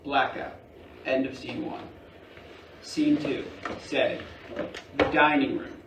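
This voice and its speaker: A man's voice: medium pitch, flat delivery, slow pace. A man giving scripted narration as the stage-directions reader at a play reading. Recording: roomy distant stage recording, clear noise.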